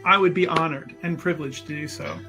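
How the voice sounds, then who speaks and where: A man playing a tabletop game, describing his character in deliberate, amused, grandiose phrases. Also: on the high side, fairly even intonation, creaky, music in the background.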